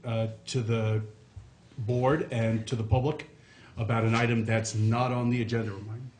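A middle-aged man chairing a town board meeting in a measured way, with many pauses and fillers. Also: mid-range voice, level tone, a little mellow, slight background noise. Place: town hall meeting room.

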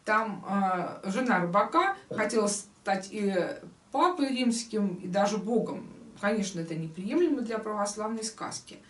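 An older woman in her sixties giving an explanatory literary lecture and reading aloud expressively, with hesitant pauses. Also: mid-range voice, lively intonation. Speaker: lecturer, writer. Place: living room at home. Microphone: camera a few metres away. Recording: roomy echo, distant microphone.

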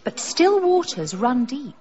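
Radio drama: gossipy, gushing woman guest, high and very animated, insinuating, slightly muffled recording. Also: a little slow, slight background noise.

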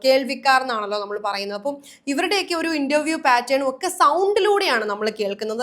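A woman's voice: high voice, a bit bright. A woman hosting a conversational news discussion, wordy and often rephrasing her points.

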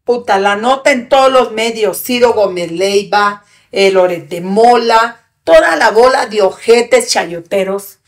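A woman's voice: mid-range voice, expressive, a little slow. A woman in her eighties talking as a podcast guest, ranting in a fiery, indignant and profane way, close to a studio microphone. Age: 80s. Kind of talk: heated interview talk. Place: small studio with brick wall and sofa. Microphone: podcast mic on a stand in front.

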